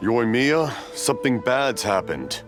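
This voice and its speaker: Old game dad, fretful n sorry, voice moves around a lot, music behind. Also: male voice, mid-range voice, big pitch swings, measured pace. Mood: worried. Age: older. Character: hard-of-hearing old father, fretful and apologetic. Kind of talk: English video game voice acting. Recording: music under the voice.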